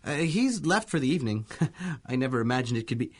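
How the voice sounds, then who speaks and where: A man's voice: medium pitch, sing-song, brisk. A man playing a timid, self-deprecating bug scientist in a 1930s-style radio drama, stammering and fussing meekly. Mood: meek, bashful. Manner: stammering, fussy, nervous chuckles, meek exclamations. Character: timid, flustered, self-deprecating male scientist, mild entomologist.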